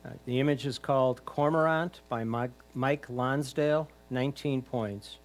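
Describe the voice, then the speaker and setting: A man's voice: medium pitch, moderate pace. Man announcing competition titles and scores.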